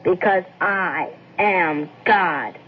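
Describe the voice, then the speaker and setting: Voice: medium pitch, drawn-out words, heavy background noise. An actor playing a domineering small boy who boasts like a tyrant god in a radio drama. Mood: boastful, commanding. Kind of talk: 1970s radio drama acting. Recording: lo-fi old radio broadcast, faint music.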